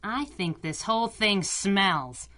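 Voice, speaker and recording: Casting: tough, sardonic female pulp sidekick, sharp bright tone, very animated, 1930s slang. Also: mid-range voice, slow, slight background noise. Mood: wry. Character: brash, sarcastic masked heroine sidekick, jealous and tough-talking. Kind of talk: pulp radio drama acting in a 1930s manner.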